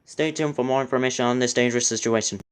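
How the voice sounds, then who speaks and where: A man's voice: medium pitch, fairly even intonation, fast, clean tone. A man delivering a news bulletin as an anchor on air.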